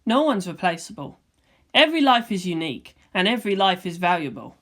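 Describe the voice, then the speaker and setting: A woman's voice: on the low side, very animated, fairly quick, a bit bright. A woman voicing an earnest, shy, caring teenage boy in a fan fiction reading. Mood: gently reassuring.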